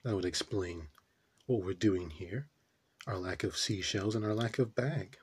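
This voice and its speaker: A man playing a puzzled, brooding character in a theatrical stage monologue, pondering haltingly with deliberate pauses. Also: low-pitched, a little hiss or hum. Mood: puzzled musing.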